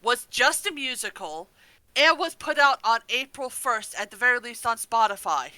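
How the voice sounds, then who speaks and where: A woman with a bright, crisp voice, pitched a little high and recorded dry and close. She chats casually as a podcast co-host, with sweary exclamations. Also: lively intonation, moderate pace, dry recording, low-quality recording. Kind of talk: casual review chat.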